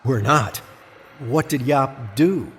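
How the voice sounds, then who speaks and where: A man's voice: medium pitch, takes it slowly. An actor playing an earnest, guarded dead man in Hell, in audio drama dialogue and first-person narration. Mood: earnest, defensive. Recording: clear background noise.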